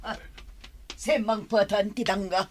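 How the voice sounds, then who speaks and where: An actress playing a callous, defensive older wife in a radio drama, with an Ilocano Filipino accent. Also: female voice, mid-range voice, fairly even intonation, unhurried, darkish tone, slight background noise, natural voice. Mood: indignant.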